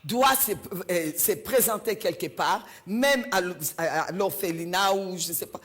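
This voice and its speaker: A woman's voice: fairly low pitch, a little slow. A woman from Belgium, preaching a sermon in a church and stressing her points by repeating key phrases.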